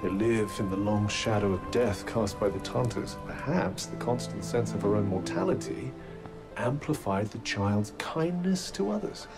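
An actor playing a haughty, articulate companion in a video game, speaking in a formal, sardonic and theatrical manner. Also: male voice, on the low side, normal speed, loud music behind the voice, natural voice, low-quality recording. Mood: solemn. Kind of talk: video game cutscene acting.

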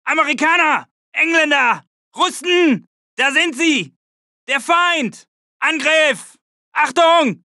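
Frantic, high-voiced German soldier. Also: male voice, slow pace, sharp tone, no room sound, clean studio sound, full character voice. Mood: urgent, aggressive. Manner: urgent, barking. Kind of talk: shouted video game battle chatter.

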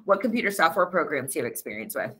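A young woman recruiter asks interview questions over a video call from an office, upbeat and brisk. She talks fast in a low, bright voice. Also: big pitch swings, slightly rough. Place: office indoors.

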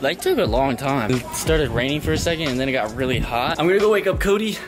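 A man's voice: on the high side, expressive, fast talker, over music. A man in his twenties with an American accent, chatting casually to viewers in slangy surfer-bro talk as he vlogs. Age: 20s. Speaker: vlogger. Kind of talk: casual vlog chat to viewers. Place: rooms at home, later outdoors by a garage. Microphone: handheld camera at arm's length.

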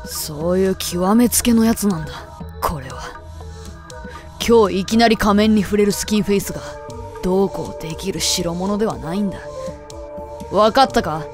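A woman's voice: medium pitch, moderate pace, long pauses, lightly acted. A woman playing a proud, jealous, hot-headed teenage boy in a comedic voice drama, with intense melodramatic delivery. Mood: stern. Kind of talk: comedic anime-style voice drama acting. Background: background music.

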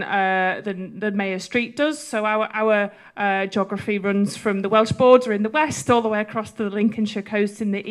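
Woman panelist with a handheld mic. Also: fairly high pitch. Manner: friendly, many fillers, self-aware about her loud voice. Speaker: panelist, transport official. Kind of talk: panel talk. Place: event hall with audience.

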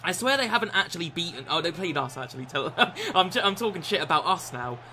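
A young man in his twenties with a croaky voice, chatting and swearing through a live football game stream from a small room. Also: sits high, very animated, fast, background noise. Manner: swears often, shouts and laughs at the game. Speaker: gaming streamer. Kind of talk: live gameplay chat.

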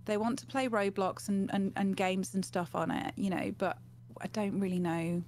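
A woman's voice: mid-range voice, steady tone, normal speed, a little mellow. Chatty middle-aged woman, noisy line. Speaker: guest, gaming streamer. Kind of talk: casual conversational interview, anecdotal. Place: small home room, indoors, on a video call. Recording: clear background noise with hum.

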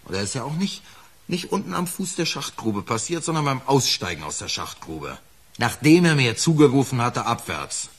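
An actor playing a defensive working-class lift fitter in a radio crime play, talking colloquially and haltingly. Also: male voice, medium pitch, very animated, fairly bright tone, breathy edge, exaggerated voice. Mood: agitated, defensive. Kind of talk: naturalistic German radio drama acting.

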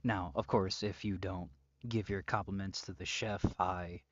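Slow, menacing young male captor, acted by a man. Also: deep voice, level tone, no room sound, lo-fi sound. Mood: smug. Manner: slow, deliberate, often dropping into breathy whispers. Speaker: audio roleplay performer. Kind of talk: ASMR-style audio roleplay drama.

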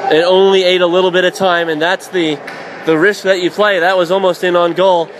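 Male hockey play-by-play announcer, high voice, faint noise behind, rising to shouts on big plays. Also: level tone, poor audio. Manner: rapid, rising to shouts on shots and saves.

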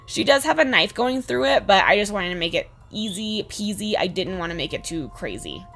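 Young woman with a creaky, hoarse voice. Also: medium pitch, very animated, a little fast, fairly bright tone. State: slightly hoarse, losing her voice. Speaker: beauty vlogger, host. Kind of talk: makeup tutorial voice-over. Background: background music.

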